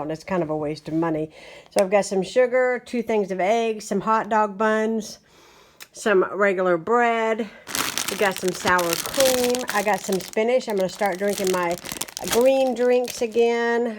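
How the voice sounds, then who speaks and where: A woman in her forties from West Virginia with an Appalachian accent, chatting casually to a phone camera at home in her morning froggy voice. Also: mid-range voice. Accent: Appalachian American. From West Virginia, USA. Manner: chatty, homey, rambling with fillers. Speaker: home vlogger. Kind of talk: casual chat to camera, grocery haul. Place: small bedroom indoors. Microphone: phone at arm's length.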